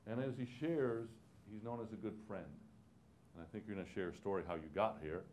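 A man's voice: lowish voice, lively intonation, a little fast, a little mellow, a little hiss or hum. A man acting as host, informally introducing a speaker to an audience in a large auditorium.

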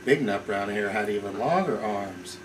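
A man's voice: fairly low pitch, animated, moderate pace. A middle-aged man reading a bedtime storybook aloud to a baby. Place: small living room, indoors. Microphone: camcorder a few feet away. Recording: noisy home camcorder, room hum.

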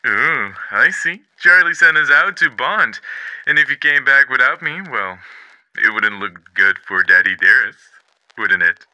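A man's voice: mid-range voice, animated, unhurried, bright, forceful tone, rough recording. A man voicing a smug, grinning male demon overlord in a fan comic dub, talking in a theatrical, mocking, sing-song way. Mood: sly.

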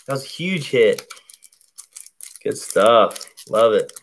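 A man with a deep, bassy voice calmly calls out each baseball card he opens at a table indoors, in a relaxed running commentary. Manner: slow, laid-back play-by-play of each card. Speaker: card break host. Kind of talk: casual card-opening commentary. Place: indoors at a table covered in cards.

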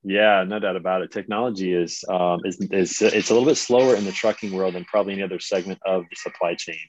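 Low-voiced chatty American man, muffled call. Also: steady tone, fast, a little hiss or hum. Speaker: host. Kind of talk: casual chat. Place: indoors at home, on a video call. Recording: dull video-call audio.

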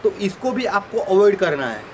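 High male voice, thirties, instructional coaching, direct address to viewers, outdoors with faint ambient noise. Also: big pitch swings, moderate pace, darkish tone. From India. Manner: demonstrating with gestures while talking, addressing viewers directly. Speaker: cricket bowling coach and video host. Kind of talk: instructional explanation to camera. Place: open rooftop terrace outdoors in a city.

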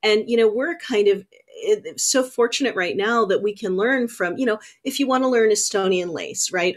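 Woman chatting in a halting, thoughtful way. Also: mid-range voice. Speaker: knitting teacher and show host. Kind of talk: casual video chat. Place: small indoor room at home. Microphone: computer mic on a video call.

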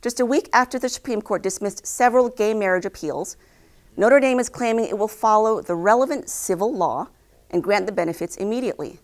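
A young adult woman reading scripted news headlines to camera as an anchor, in a formal, measured way. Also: medium pitch. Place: indoor news studio.

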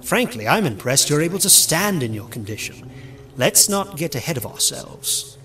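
A man's voice: a little high, big pitch swings, moderate pace, a bit bright, faint music behind. A man voicing a suave, secretive animal leader in a cartoon fandub, speaking formally and wordily with polite menace. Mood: guarded, courteous. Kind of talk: dramatic comic fandub dialogue.

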